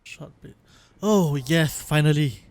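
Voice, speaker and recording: A man's voice: a little high, slow pace, darkish tone, no room sound. A young man from Singapore, hosting a casual let's play and rambling on, often correcting himself mid-sentence. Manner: rambling, self-correcting, chatty. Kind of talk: casual gameplay commentary.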